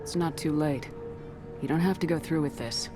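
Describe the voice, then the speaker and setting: A woman playing a composed military commander in a video game, speaking in a firm, measured, direct way. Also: deep voice, over music, natural voice. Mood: concerned. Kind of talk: video game dramatic dialogue.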